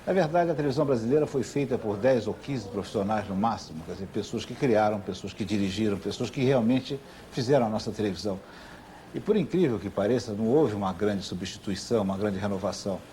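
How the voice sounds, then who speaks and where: A Brazilian man with a fairly low, warm and dark voice reflects in an interview. He is earnest and deliberate, building emphasis through repetition. There is faint noise on the recording. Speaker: television professional giving testimony.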